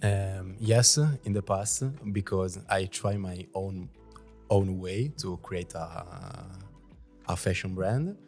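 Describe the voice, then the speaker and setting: A man in his early twenties, a design student, answers interview questions haltingly, searching for words. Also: low-pitched, a little mellow, faint music behind. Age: 20s. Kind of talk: casual interview.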